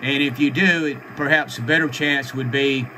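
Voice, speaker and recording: A man in his sixties with an American accent, explaining informally to camera in a halting, matter-of-fact way. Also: medium pitch, steady tone, bright, forceful tone, a little hiss or hum, low-quality recording. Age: 60s. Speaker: ham radio vlogger. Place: small home radio room, indoors.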